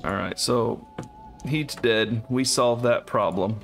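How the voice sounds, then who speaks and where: A man's voice: mid-range voice, slow pace, gravelly. A man casually commenting on his co-op game as he plays, musing aloud and laughing often. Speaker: let's play host. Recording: game music behind voice.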